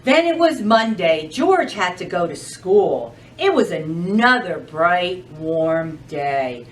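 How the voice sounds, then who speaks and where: Woman, slow-paced children's storyteller, very lively intonation, expressive, recorded at a distance from the mic. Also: on the low side. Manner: expressive, addresses children with questions. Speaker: librarian storytime reader. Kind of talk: children's storybook read-aloud.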